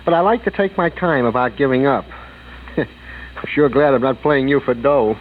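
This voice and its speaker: An American actor playing an easygoing, joking junior police partner in a 1940s radio drama. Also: male voice, medium pitch, moderate pace, a little hiss or hum, close to an everyday voice. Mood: joking, relaxed. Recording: tinny, band-limited old radio recording.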